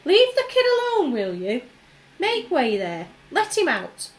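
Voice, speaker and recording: A woman puts on a plump, jolly male shopkeeper from a storybook reading. He talks in a high, bright voice. He gets loud and bursts out excitedly. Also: fairly quick. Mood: bossy.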